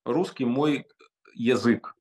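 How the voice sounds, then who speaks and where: A man's voice: mid-range voice, slow, a little mellow. Emphatic Ukrainian man on a video call. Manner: emphatic, frequent hesitations. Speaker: interview guest. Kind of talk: interview answers. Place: home study, indoors.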